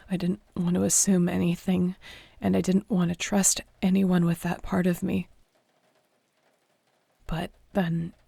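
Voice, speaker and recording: A woman acting a guarded, flustered but tender mentor in a modern fantasy audio roleplay, speaking haltingly and often near a whisper. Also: lowish voice, gravelly, warm tone, slightly rough, no room sound, exaggerated voice. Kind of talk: audio roleplay acting, intimate one-sided dialogue.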